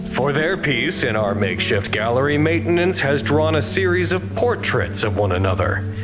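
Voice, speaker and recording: An actor playing a reflective, earnest man narrating an audio drama monologue in a measured, hesitant, thoughtful way. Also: mid-range voice. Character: reflective male narrator describing an improvised office art gallery, earnest, uneasy.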